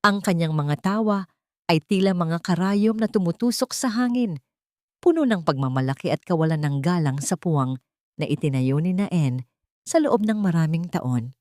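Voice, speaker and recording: Low-voiced woman narrating romantic drama. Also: expressive, moderate pace, darkish tone, no room sound, studio quality. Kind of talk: dramatic scripted love-story narration.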